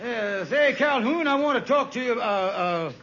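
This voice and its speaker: A high-voiced, blustering schemer stammers slyly through a vintage radio sitcom. His folksy talk is hesitant, and the old recording is muffled and noisy. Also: lively intonation, normal speed, fairly bright tone. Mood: scheming, worried. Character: scheming, hard-up married lodge man, blustering and sly. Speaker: sitcom actor. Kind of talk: 1940s-50s radio comedy acting.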